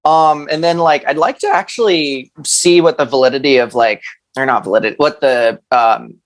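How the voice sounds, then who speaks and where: A man's voice: mid-range voice, normal speed. A thirty-year-old man chatting casually as a musician guest in an interview, hesitant and rambling, with slangy phrasing.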